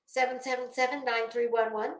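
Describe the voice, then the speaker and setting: High-pitched, blunt woman livestreamer. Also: steady tone, roomy sound. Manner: blunt, scornful, emphatic, with deliberate repetition. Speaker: livestream host. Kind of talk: opinionated livestream commentary to viewers.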